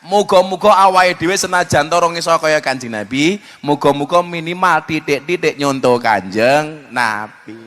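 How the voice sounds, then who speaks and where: A man's voice: on the high side, moderate pace, a bit bright, soft background music. A man with a Javanese accent preaching in a joking, teasing way at a live religious gathering. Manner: joking, teasing, code-switching between Indonesian and Javanese. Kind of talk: humorous sermon with banter.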